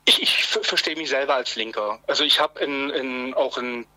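Male, 50s, from southwest Germany, phone interview guest; very bright, dry voice, halting delivery. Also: mid-range voice, no echo, poor audio. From Swabia, Germany. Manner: hesitant, many fillers and restarts, tag "ne?". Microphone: telephone.

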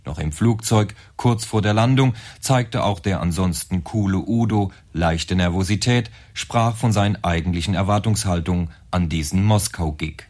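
A man's voice: sits low, moderate pace, few pauses, a little mellow. A man reading a scripted radio report in a wry, polished tone.

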